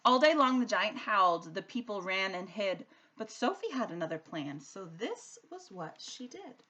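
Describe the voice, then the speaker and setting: A woman reads a children's story aloud in rhyme. Her delivery is expressive and sing-song, like a teacher reading to pupils. The pitch moves widely around a middle register. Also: moderate pace, muffled recording. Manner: animated, sing-song rhythm following the rhyme.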